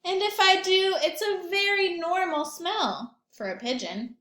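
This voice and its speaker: A woman's voice: very high-pitched, pushed and bright, reverberant, voice pushed up, exaggerated voice. A woman voicing a stubborn, indignant male pigeon from a picture book, protesting and arguing emphatically. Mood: argumentative. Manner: emphatic, argumentative, drawn-out protests.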